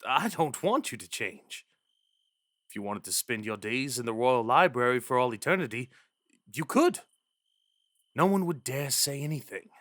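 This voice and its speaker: A man playing an earnest, lovestruck young crown prince in a romantic ASMR roleplay, speaking tenderly and intimately with dramatic pauses. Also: medium pitch, fairly bright tone, no echo, studio quality, heavily acted. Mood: hopeful, pleading.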